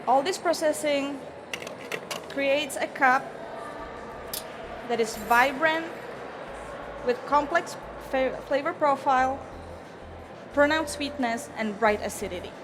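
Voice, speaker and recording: Woman, Czech accent, fairly high voice, measured rehearsed presentation, competition stage, noisy venue behind. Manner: measured, careful, formal. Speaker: barista competitor. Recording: noisy event venue ambience.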